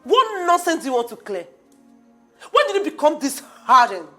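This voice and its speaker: A woman's voice: high-pitched, big pitch swings, normal speed. An actress playing a reproachful young woman who scolds her friend, with incredulous speech. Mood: scolding. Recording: faint music behind.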